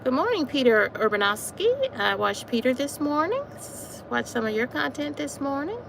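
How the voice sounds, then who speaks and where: A woman in her forties chatting casually on a livestream while out walking, repeating herself warmly and calling her viewers y'all. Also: high-pitched, sing-song, moderate pace, gravelly, rough recording. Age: 40s. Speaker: livestream host. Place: outdoors on a walk, wind noise. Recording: faint wind noise.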